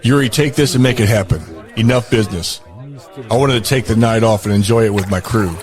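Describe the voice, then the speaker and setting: An actor in an audio drama playing a brutal gang boss and enforcer with a curt, commanding manner. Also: male voice, on the low side, a little fast, darkish tone, natural voice. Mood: smug, in control.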